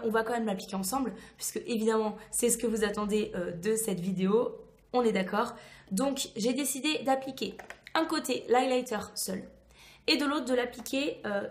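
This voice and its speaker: A woman with a hoarse voice recovering voice loss, chatting enthusiastically as she reviews a beauty product to camera. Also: mid-range voice. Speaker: beauty vlogger. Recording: echoey, reverberant room.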